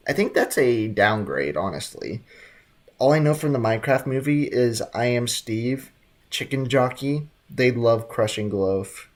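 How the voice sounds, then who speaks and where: A man's voice: mid-range voice, lively intonation, a little slow. A man chatting casually and jokingly with viewers as he streams a game, using plenty of slang. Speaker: live streamer. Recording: game music behind the voice. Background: game music.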